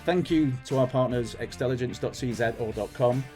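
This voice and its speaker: A man's voice: mid-range voice, moderate pace, darkish tone, soft background music. A man co-hosting a podcast in casual chat, joking and swearing freely. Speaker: podcast co-host.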